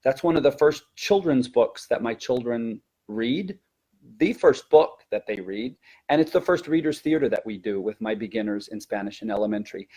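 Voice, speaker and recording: A man with a medium-pitched voice presents as an experienced language teacher in a conversational webinar. He speaks emphatically, poses rhetorical questions, and builds his explanations in short stop-and-start phrases. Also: expressive, moderate pace, warm tone, husky.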